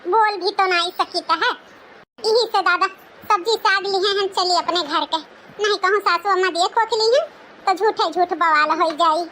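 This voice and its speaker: Woman voicing cartoon village in-law, extremely high childlike pitch, sharp bright tone, Awadhi accent, some background noise. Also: moderate pace, low-quality recording. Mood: questioning, meek. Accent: Awadhi, northern India. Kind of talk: rural family cartoon dialogue.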